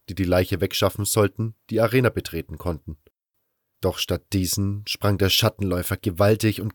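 Casting: male audiobook narrator, lower register, scripted first-person fantasy storytelling over soft music.

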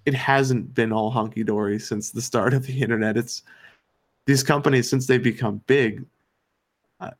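An American man co-hosting a podcast, giving his opinions in an earnest, somewhat halting explanatory way. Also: fairly low pitch. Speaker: podcast co-host.

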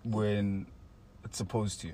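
A man's voice: lowish voice, little pitch movement, slow pace, mellow, a little hiss or hum. A man in casual chat, thoughtfully sharing sayings he learned from friends. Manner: reflective, quotes friends' sayings.

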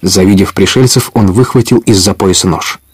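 A man's voice: deep voice, moderate pace, husky, dry recording. A man narrating an adventure audio play in a scripted storytelling style.

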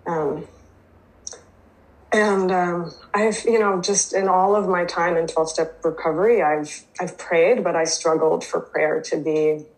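Low-voiced woman, native Hebrew speaker. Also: steady tone, unhurried, low-quality recording. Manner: hesitant, many fillers and restarts. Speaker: twelve-step program member sharing. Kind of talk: reading aloud, then personal recovery share.

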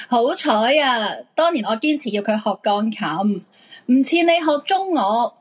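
A woman plays a caring, nagging middle-aged mother in a radio drama. Her voice is fairly high and a little husky, with a Hong Kong Cantonese accent. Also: expressive, takes it slowly, heavily acted. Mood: caring, insistent. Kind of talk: live radio drama dialogue.

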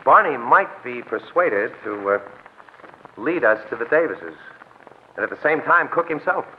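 An actor playing a brash, wisecracking newspaper crime photographer who talks confident city slang in 1940s radio drama style. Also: male voice, medium pitch, sing-song, normal speed, slight background noise, close to an everyday voice. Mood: shrewd, scheming. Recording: tinny vintage radio broadcast with music.

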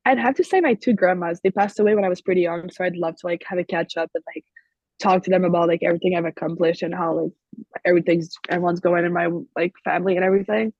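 A young Québécois woman with French as her first language talks through her answers, filling nearly every pause with "like." Her voice comes through husky and a little breathy. The lo-fi recording sounds dull and muffled, and the room is dry. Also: on the low side, steady tone, darkish tone, dry recording. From Quebec. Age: young adult. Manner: leans heavily on "like" as a filler. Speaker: college hockey player guest. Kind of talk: casual interview answers.

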